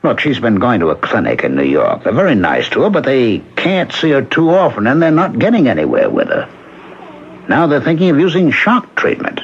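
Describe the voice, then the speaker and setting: An actor in a 1970s radio drama playing an earnest former hobo, insistent and pleading in manner. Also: male voice, mid-range voice, huge pitch swings, a little fast, breathy edge, full character voice. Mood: pleading, exasperated. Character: earnest, persistent working man, former hobo. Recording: dull, noisy old radio recording.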